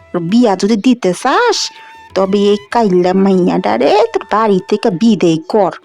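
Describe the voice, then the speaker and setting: A woman's voice: medium pitch, darkish tone, close to an everyday voice. A woman voicing a meddling, spiteful old village great-aunt with a rural East Bengali accent in a cartoon. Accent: rural East Bengali dialect. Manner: gossipy, scornful. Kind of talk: animated fairy-tale voice acting.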